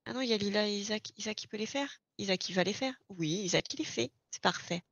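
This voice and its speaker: Woman, medium pitch, casual instructor, informal step-by-step explanations, dry, slightly dull audio. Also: quick pace, fairly bright tone, no echo. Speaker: experienced garage employee training a new recruit in online roleplay. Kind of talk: casual explanatory roleplay chat.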